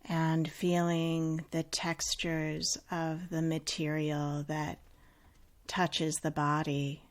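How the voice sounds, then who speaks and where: A woman leading a guided meditation in a calm, unhurried, gently instructive way with long pauses. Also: low-pitched.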